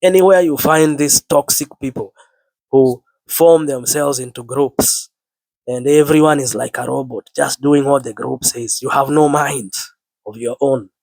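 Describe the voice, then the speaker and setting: A man with the flu delivers an earnest sermon to his online viewers in a voice that sounds low and worn from illness. He leans on biblical phrasing and repeats his words. His pitch is ordinary for a man, and the recording is close and dry. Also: fairly even intonation, a little slow, vocal fry, darkish tone, no echo, poor audio. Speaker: online preacher. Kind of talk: spoken sermon to camera.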